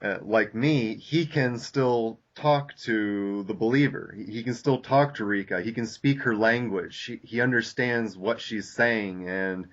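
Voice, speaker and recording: Young male podcast host, low voice, hesitant and filler-heavy, muffled home recording. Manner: halting, many fillers and restarts. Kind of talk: casual podcast discussion. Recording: lo-fi dull audio.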